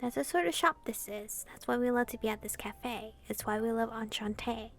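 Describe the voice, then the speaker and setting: Woman reading easygoing demon king, creaky voice. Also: on the high side, brisk, faint music behind, natural voice. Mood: gentle, inviting. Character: flirtatious, easygoing demon king, read from game subtitles. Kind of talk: reading translated visual novel dialogue aloud.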